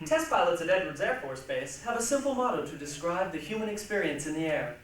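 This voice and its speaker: A woman performing a dramatic stage reading with measured, theatrical storytelling. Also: on the low side, expressive. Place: large echoey room, recorded from a distance. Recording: echoey hall, distant mic, faint noise.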